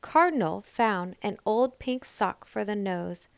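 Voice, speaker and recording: A woman reading a children's story aloud as scripted narration, in a deliberate, expressive storytelling manner. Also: medium pitch, gravelly, clean tone, no room sound. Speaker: storybook reader.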